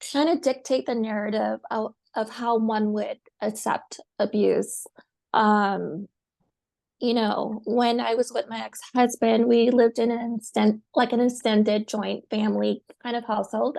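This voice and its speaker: A woman from the United States telling her personal story as a guest, speaking softly and haltingly with many pauses. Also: mid-range voice, vocal fry. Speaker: guest, survivor. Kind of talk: personal testimony.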